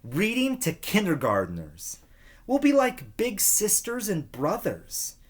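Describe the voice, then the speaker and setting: A man's voice: sits high, huge pitch swings, takes it slowly, pitched above the performer's own voice, full character voice. A man voicing an enthusiastic schoolgirl class candidate, keen and upbeat, in a storybook reading.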